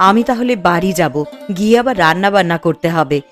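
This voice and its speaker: A woman's voice: fairly low pitch, expressive, fast talker, natural voice. A woman voicing a humble, deferential housemaid and mother who speaks guardedly in a cartoon. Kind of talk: Bengali moral-story cartoon dubbing. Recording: music under the voice.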